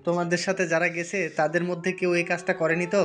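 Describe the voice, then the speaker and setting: Fast-talking naval chief played by a man. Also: sits high, level tone, fairly bright tone. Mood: concerned, official. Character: concerned, questioning senior navy chief at headquarters. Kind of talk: audio drama acting. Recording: music bed under the voice.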